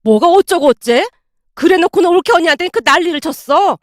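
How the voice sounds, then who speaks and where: A woman's voice: high voice, animated, a little fast, dry recording, studio quality. A woman voicing an older mother-in-law in a narrated family story, blunt, indignant and fiercely protective. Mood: furious scolding.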